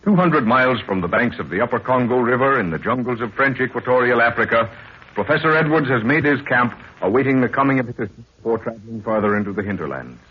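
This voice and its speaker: A man's voice: medium pitch, animated, fast, husky. A man acting as the ominous, theatrical narrator of a 1930s radio jungle mystery. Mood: solemn, grand. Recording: tinny, band-limited 1930s radio recording.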